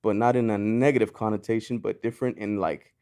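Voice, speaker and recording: A man's voice: fairly low pitch, steady tone, fast talker, warm tone, smooth. A man born in Mexico, a podcast guest talking loosely in casual chat, with lots of fillers and restarts. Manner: loose and rambling, lots of "you know" and "like", frequent restarts. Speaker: guest, clothing brand founder.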